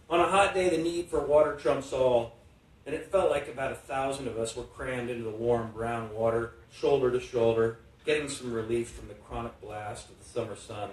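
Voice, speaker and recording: A man's voice: mid-range voice, darkish tone. A man reading literary prose aloud at a live event. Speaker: reader. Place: indoor book launch event. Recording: echoey hall, distant, faint noise.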